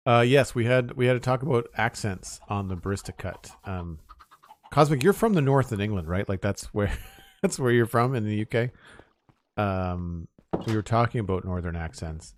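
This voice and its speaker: A man's voice: on the low side, animated, normal speed, no room sound. A young man from Nova Scotia with a Canadian Maritime accent, chatting casually to his audience while playing, rambling and counting aloud. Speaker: streamer. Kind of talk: casual gameplay livestream chat.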